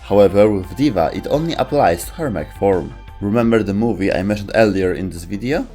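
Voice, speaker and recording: A man's voice: on the low side, animated, a little fast, warm tone, faint music behind. A man reading scripted narration as a video host.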